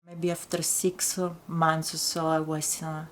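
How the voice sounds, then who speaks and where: A woman with an Italian accent, a native Italian speaker, talking reflectively in an interview with halting, searching phrasing and many fillers. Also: low voice, completely flat delivery, slight background noise. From Italy. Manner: halting, full of pauses and fillers. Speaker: writer and teacher.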